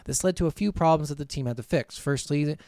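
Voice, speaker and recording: A man's voice: a little high, fast, darkish tone, no echo, clean studio sound. Blunt, sweary man podcasting about games. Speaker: podcast co-host. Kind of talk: casual gaming podcast chat with some reading from notes.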